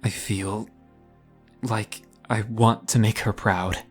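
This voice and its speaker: A man playing a wounded, self-doubting young man in an audio drama, speaking hesitantly and confessionally in a breathy, husky voice. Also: on the low side, steady tone, slow pace, faint music behind. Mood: vulnerable, hopeful.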